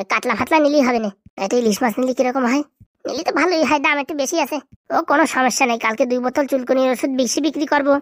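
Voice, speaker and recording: Guy doing a bossy cartoon village pal, high voice, chattering super fast and telling people off. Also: a bit bright, no room sound, studio quality. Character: bickering, practical village buddies planning food and shopping. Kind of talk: comedy cartoon dialect acting.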